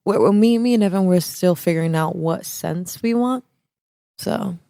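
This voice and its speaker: Young woman, close dry mic, lowish voice. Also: moderate pace, creaky, dry recording. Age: young adult. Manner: animated, laughs often, restarts phrases. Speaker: guest, business co-owner. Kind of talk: casual interview answers.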